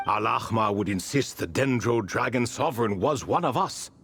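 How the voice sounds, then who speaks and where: An actor playing an ancient, wry and refined spirit who tells stories in a proud, wistful way in a video game. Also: male voice, mid-range voice, animated, moderate pace, creaky, fairly bright tone, slight background noise. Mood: wistful, solemn. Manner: theatrical, refined, leisurely storytelling. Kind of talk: video game character dialogue.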